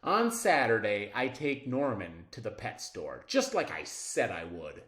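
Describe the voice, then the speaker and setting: A man reading a children's story aloud expressively, with dramatic pauses and drawn-out words. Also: fairly low pitch, wildly swooping pitch, fairly bright tone. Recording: roomy, echoing sound.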